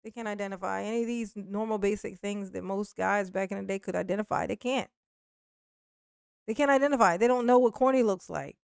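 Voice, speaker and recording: A woman's voice: medium pitch, a little fast, vocal fry, smooth, no room sound, clean studio sound. A woman in her forties chatting casually on a live stream, speaking bluntly and profanely with frequent restarts and laughs. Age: 40s.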